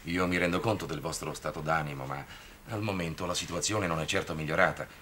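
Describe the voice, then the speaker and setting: An actor playing a patient middle-aged priest in a vintage television drama, speaking in a measured, earnest, formal way. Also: male voice, low voice, steady tone, pushed and bright. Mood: earnest, troubled. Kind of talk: 1960s television drama acting. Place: indoors, study, off-screen microphone. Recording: noisy vintage television soundtrack.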